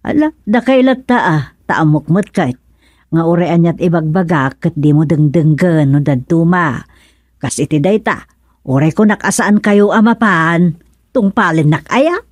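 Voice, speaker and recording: A woman's voice: fairly low pitch, expressive, a little slow, darkish tone, dry recording. An actress playing a worrying, nagging older village wife and mother with an Ilocano accent in a radio drama comedy.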